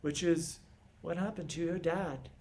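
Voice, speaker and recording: A proud, stern king, acted by a man in a stage tragedy, speaking in a fairly high male voice. He is scornful and theatrical, weighing his phrases slowly with dramatic pauses. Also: darkish tone. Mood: stern, resolute. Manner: theatrical, deliberate, emphatic delivery with dramatic pauses. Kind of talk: classical stage monologue.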